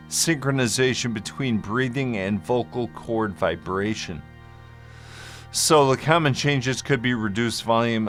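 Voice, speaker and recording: A man giving an informal explainer as a vlogger, speaking in a halting, deliberate way with long hesitations and at times mildly slurred speech. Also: on the low side, fairly bright tone. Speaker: vlogger sharing a personal medical journey. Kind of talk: informal explainer, part reading notes, part ad-libbed.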